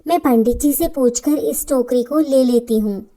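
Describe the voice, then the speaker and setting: A woman's voice: high-pitched, normal speed, mellow, voice pushed up. A woman voicing a kind, curious young female animal lover in a children's story. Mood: delighted, curious.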